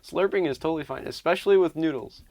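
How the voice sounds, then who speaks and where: A woman with a strikingly low voice chats in a dry, close recording. Her delivery is casual and offhand, a little tentative as she gets to her point. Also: fast, a little mellow, no room sound. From China, as an expatriate child. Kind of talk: casual chat.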